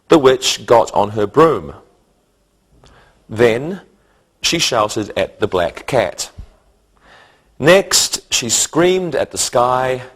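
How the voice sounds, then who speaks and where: Slow-paced male English teacher. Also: medium pitch. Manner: deliberate, instructional delivery. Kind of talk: scripted English lesson for beginners.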